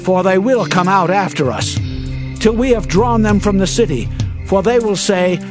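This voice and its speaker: An actor playing a solemn, commanding Israelite leader in a dramatized scripture reading, earnest and declamatory. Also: male voice, high-pitched, lively intonation, moderate pace, music in the background.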